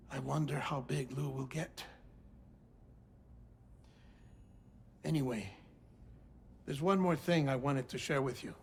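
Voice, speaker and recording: An actor playing an earnest, dying doctor in a video game cutscene, confessing in deliberate, formal phrases with pauses. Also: male voice, a little high, slight background noise, lightly acted. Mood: gentle. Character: earnest, world-weary male doctor made of transplanted organs, dying. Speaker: doctor and researcher.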